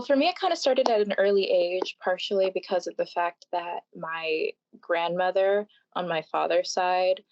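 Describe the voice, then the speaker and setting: A young woman in her twenties answers a podcast question in a casual, thoughtful way, with fillers and soft laughs. Her voice is somewhat low. The recording is dry and dull, with a lo-fi sound. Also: no echo. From Florida, United States. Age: 20s. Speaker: guest.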